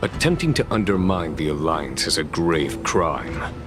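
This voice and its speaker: Game role: composed general, very low register, formal, dry, shrewd, over a musical score. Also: male voice, lively intonation, a little slow, music in the background. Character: composed, shrewd male general, dryly authoritative. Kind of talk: video game voice acting.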